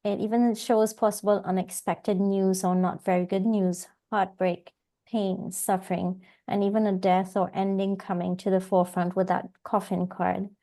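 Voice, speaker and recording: A woman co-hosting a tarot panel over a video call, hoarse from a cold and earnestly interpreting the cards. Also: mid-range voice, flat delivery, normal speed, warm tone. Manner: earnest, interpretive, with small restarts. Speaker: tarot reader co-host. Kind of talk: casual spiritual panel chat.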